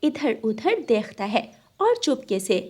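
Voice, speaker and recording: Lady narrator reading a kids cartoon story, voice a bit high. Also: sing-song, takes it slowly, a little mellow, husky. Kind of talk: scripted children's story narration.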